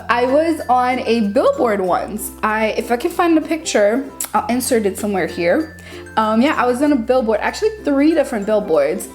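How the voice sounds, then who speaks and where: A woman's voice: fairly high pitch, a little mellow. A young woman from Haiti whose first languages are French and Creole, chatting casually and informally to viewers as a channel host. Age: young adult. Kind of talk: casual chat to camera, answering viewer questions.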